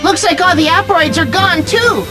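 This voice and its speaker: Boyish cartoon toad mechanic: very high, squeaky, lively and excitable, game music behind. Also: female voice, normal speed. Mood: alarmed, eager. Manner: excitable, earnest. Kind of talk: video game cutscene acting.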